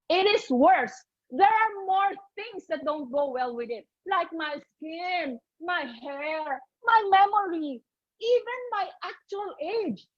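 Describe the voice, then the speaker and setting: A woman in her late fifties with a Filipino accent, delivering a humorous contest speech in a theatrical, deliberate manner over an online call. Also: sits high. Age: late 50s. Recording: muffled online video call.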